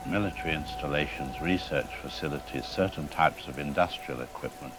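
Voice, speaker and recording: A man playing a cautious, troubled scientist in a scripted radio drama, explaining technical matters in measured, formal language. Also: very deep voice. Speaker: expert guest. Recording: steady rain-like background noise.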